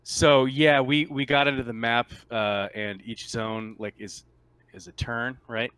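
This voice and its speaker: A man running a casual tabletop roleplaying game as game master, explaining in a halting way with repeats and rephrasing. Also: mid-range voice, steady tone, a bit bright, clean tone, no room sound.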